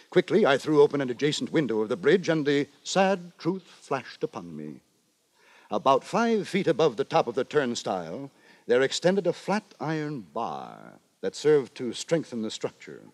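A man playing a pompous, wry gentleman narrator in a 1950s radio drama, telling his tale with ornate, mock-solemn deliberation. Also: medium pitch, no room sound, full character voice. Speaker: narrator and lead actor. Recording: dry 1950s radio with music.

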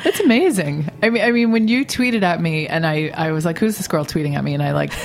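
Low-voiced woman cohost, friendly casual chat with ums, talking to a guest. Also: expressive, fast. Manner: friendly, chatty, many fillers. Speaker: radio show co-host.

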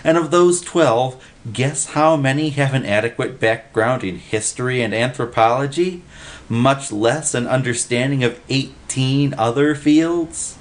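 A man's voice: medium pitch, measured pace, voice pushed up, natural voice. A man voicing an exasperated, educated male boss who talks emphatically in rhetorical questions, in a storybook reading.

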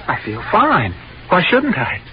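A man's voice: high-pitched, huge pitch swings, a little slow, a little hiss or hum, heavily acted. An actor playing a mild, henpecked middle-aged American office man, touchy and defensive, in a 1940s radio drama style. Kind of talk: 1940s radio drama acting, first-person narration. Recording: muffled old 1940s radio, music behind.

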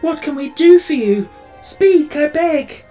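Woman as an urgent, high-pitched tin man. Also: expressive, slow, warm tone, faint music behind. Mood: pleading, alarmed. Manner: pleading, urgent. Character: tender-hearted, anxious man made of tin.

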